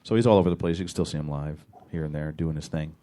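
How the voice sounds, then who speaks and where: A man co-hosts a casual comedy podcast, talking in a very low voice. He rambles, teases the others and plugs the show as he goes. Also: fast talker, warm tone. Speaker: podcast co-host. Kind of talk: casual comedy radio chat.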